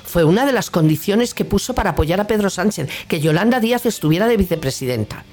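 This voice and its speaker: A woman's voice: mid-range voice, lively intonation, quick pace, darkish tone, soft background music. A woman with a Castilian Spanish accent hosting a radio show, delivering a political opinion monologue in an indignant, sarcastic, colloquial way.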